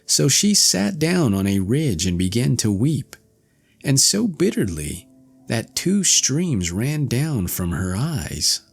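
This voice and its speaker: A man narrating a fairy tale aloud in a slow, expressive storytelling manner. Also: medium pitch, gravelly, husky, soft background music. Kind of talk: audiobook fairy tale reading.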